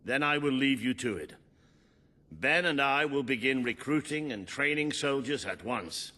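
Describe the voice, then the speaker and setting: A man's voice: mid-range voice, animated, unhurried, sharp tone. A man playing an earnest, loyal military commander in a fantasy video game, advising the monarch. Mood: earnest, resolute. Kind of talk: video game cutscene dialogue.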